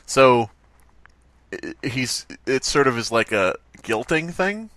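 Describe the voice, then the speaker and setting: A man's voice: medium pitch, big pitch swings, slow pace, a bit bright, no echo. A man hosting a comedy podcast, reading stories aloud and riffing casually with jokes and swearing. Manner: joking, swears casually, riffs on bits. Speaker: podcast host.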